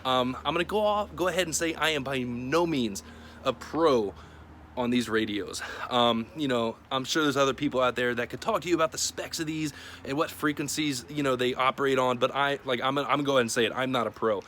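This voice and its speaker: A man's voice: medium pitch, brisk, fairly bright tone, slight background noise. A man hosting a casual product review to camera in an informal, plain-spoken, conversational way. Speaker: video host.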